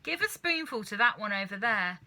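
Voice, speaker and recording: A woman voicing an excitable, bossy farmer father in a children's storybook, exclaiming urgently. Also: mid-range voice, moderate pace, exaggerated voice. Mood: excited.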